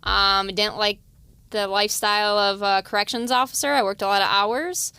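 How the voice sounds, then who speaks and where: A woman's voice: medium pitch, steady tone, moderate pace, bright, forceful tone, smooth, dry recording. Light-voiced woman police officer. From West Virginia. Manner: light, youthful voice. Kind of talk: casual interview.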